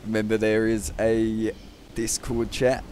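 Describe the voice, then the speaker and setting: Lowish-voiced man streaming a game. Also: measured pace, slight background noise. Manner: relaxed, chatty, asks viewers for likes. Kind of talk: casual gaming chat.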